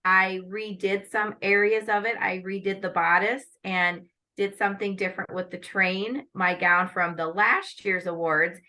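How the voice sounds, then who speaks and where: A middle-aged woman from Iowa answers interview questions with poise. Her voice is bright and forceful at a middling pitch. She runs through her many roles in a polished, articulate way. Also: fairly even intonation, unhurried, few pauses. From Northwest Iowa, USA. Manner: poised and articulate, listing her work with enthusiasm. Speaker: guest, lawyer and pageant titleholder.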